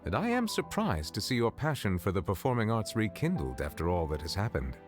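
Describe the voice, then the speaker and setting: A stately, composed judge with a very deep, low voice, measured and courteous in every phrase. Faint game music sits behind him. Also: male voice, sing-song. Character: stately, composed chief justice, calm and formal.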